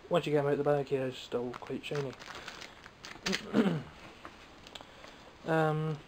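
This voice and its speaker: A man's voice: on the high side, steady tone, a little slow, noisy background. A young Scottish man chatting casually and wryly about his toy haul from behind the camera, a bit phlegmy and coughing now and then. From Scotland. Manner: wry, rambling and self-mocking. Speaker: toy collector vlogger. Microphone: camera mic, speaking from behind the camera.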